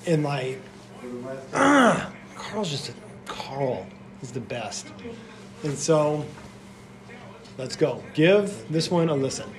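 A man's voice: on the high side, sing-song, very slow, pauses often, a crowd in the background. A man co-hosting a podcast in a casual, chatty way, full of "like" fillers. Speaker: podcast co-host.

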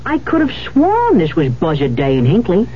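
A man's voice: high-pitched, wildly swooping pitch, moderate pace. An actor playing a goofy, eager guesser, a man in a comic 1970s radio ad. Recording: dull hissy 1970s radio recording.

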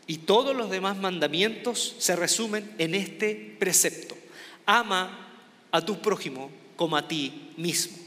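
A man's voice: high-pitched, a bit bright, in a large hall. A man from Chile with a Chilean accent, preaching an informal, conversational sermon with many restarts and a self-mocking tone. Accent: Chilean Spanish. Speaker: pastor.

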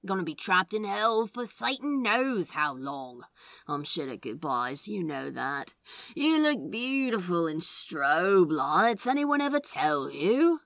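A woman's voice: lowish voice, very animated, slow pace, vocal fry, a bit bright, no room sound, exaggerated voice. A woman playing a sardonic, sentimental male demon with a loose drawl in an acted audio story. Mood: drunk, wistful. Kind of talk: audio fan fiction character acting.

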